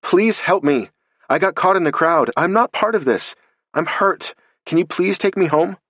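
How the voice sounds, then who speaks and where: A man's voice: medium pitch, animated, normal speed, fairly bright tone, dry recording. A man narrating a story voices a frightened, injured young woman who pleads urgently and breathlessly. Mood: pleading.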